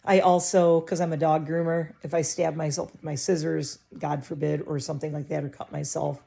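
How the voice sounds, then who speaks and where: A middle-aged woman talks to her viewers from her living room in a loose, rambling way, often cutting herself off mid-sentence. Her voice sits low and gravelly with a dark, mellow tone. She sounds a little stuffy, and her throat is scratchy. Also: little pitch movement, fairly quick. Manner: rambling, chatty, self-interrupting. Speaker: vlogger. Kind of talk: casual chat to camera.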